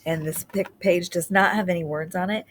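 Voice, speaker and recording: A woman's voice: lowish voice, fairly even intonation, normal speed, a bit bright, slight background noise. A woman reading a children's story aloud as a storybook narrator. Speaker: storybook reader.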